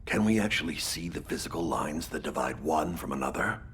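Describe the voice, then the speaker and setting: A grave, philosophical old pilot of noble birth, as a man puts him on, with a very deep voice and a solemn, questioning manner. His words come a little off the mic inside game narration. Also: expressive, brisk, fairly bright tone, slight background noise.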